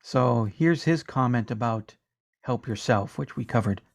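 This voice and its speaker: A man's voice: medium pitch, a little slow, gravelly. Joking male podcast co-host. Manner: jokey, reads listener comments aloud. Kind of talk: casual podcast banter.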